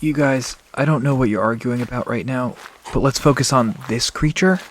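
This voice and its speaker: An actor playing a calm, gentle, peacemaking young leader in an audio drama. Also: medium pitch, moderate pace, slight background noise, lightly acted.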